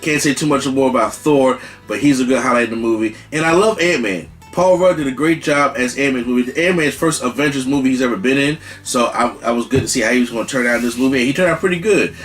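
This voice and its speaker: Male host, mid-pitched, emphatic and repetitive, quiet guitar music underneath. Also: fast, hardly any pauses. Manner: excited, repetitive restarts, emphatic. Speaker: channel host, movie reviewer. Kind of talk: casual movie review.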